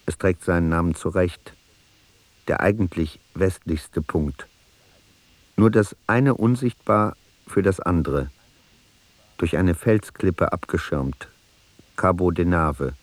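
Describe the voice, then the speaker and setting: Solitary journal-writing traveller, low-pitched, terse, dry close sound, radio drama. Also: male voice, no echo. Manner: terse, fragmentary, observational. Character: solitary male traveller noting a desolate cape in his journal. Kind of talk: radio play journal narration.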